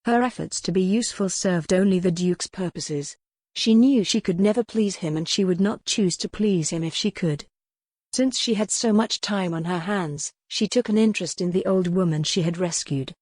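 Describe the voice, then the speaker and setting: A woman's voice: fairly low pitch, normal speed, darkish tone, no room sound. A woman reading a scripted historical story as an audiobook narrator.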